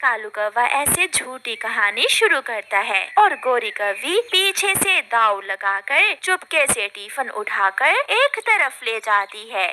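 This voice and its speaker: A woman narrating a cartoon story in a lively, expressive way. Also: on the high side, takes it slowly, few pauses, a little hiss or hum.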